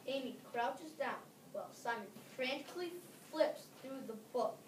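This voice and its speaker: A female narrator reading a scripted story aloud for readers' theater, with halting phrasing. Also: high-pitched, expressive. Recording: distant mic, echoey room, clear background noise.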